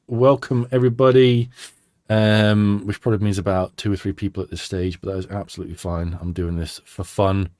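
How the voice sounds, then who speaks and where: A man's voice: lowish voice, flat delivery, fairly quick, a little mellow, no room sound, clean studio sound. A man hosting a casual podcast, chatty and self-deprecating, a little stuffy from a cold. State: slightly stuffy nose from a cold. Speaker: podcast host. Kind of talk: casual chat.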